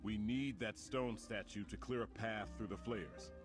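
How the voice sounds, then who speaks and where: A commanding fantasy-game prince, played by a man, addresses comrades in a fairly low voice. The delivery is formal, confident and rousing, over clearly audible music. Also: a little slow, close to an everyday voice. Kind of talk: fantasy video game acting. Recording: prominent music behind the voice.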